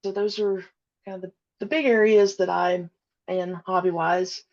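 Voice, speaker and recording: A young woman with a gravelly, fry-heavy voice chats easily as a podcast guest, laughing often and restarting her sentences. Her sound is dull and lo-fi, with the high end cut off. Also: fairly even intonation, moderate pace, a little mellow. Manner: easygoing, many fillers and restarts, giggly. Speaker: podcast guest, audio drama creator. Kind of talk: casual interview chat.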